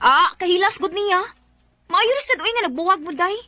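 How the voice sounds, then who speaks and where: Very high-pitched sassy best friend. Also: female voice, lively intonation, moderate pace, pushed and bright, no room sound, soft background music, exaggerated voice. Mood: sassy, consoling. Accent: Cebuano Filipino. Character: bossy, caring young female best friend, sassy. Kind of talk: Filipino radio drama acting in Cebuano.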